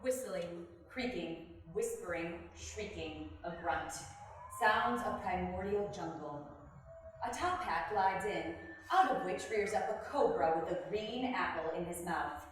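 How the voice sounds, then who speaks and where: A woman's voice: on the low side, a little slow, slight background noise. A woman reading scripted stage directions as narrator of a dramatic reading. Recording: very echoey room, far from mic.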